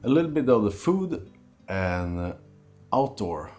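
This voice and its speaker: A man answering questions in a casual chat, giving short, quiet replies with some hesitation. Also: lowish voice, very animated, measured pace, faint music behind. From Sweden. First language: Swedish.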